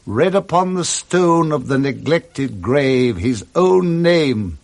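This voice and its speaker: A man with a British accent narrating a Victorian ghost story in a deliberate, theatrical radio drama style. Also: mid-range voice, expressive, creaky. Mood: grave, suspenseful.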